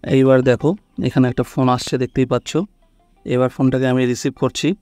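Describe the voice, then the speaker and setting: A man with a medium-pitched voice, recorded dry and close. He walks through phone steps casually as a tutorial host, often checking that the listener is following along. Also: flat delivery, brisk, darkish tone, no room sound, soft background music.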